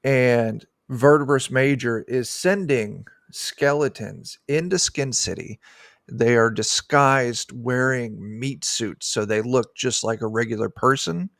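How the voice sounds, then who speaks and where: A middle-aged man explaining things casually and haltingly as an interview guest, speaking into a desk microphone. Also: mid-range voice, gravelly, a bit bright, dry recording, clean studio sound. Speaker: guest, tabletop game designer. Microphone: desk microphone on a video call.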